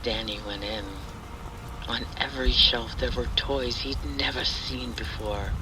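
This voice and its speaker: Slow, hushed male horror narrator. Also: mid-range voice. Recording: steady rain and thunder noise. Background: rain and thunder ambience.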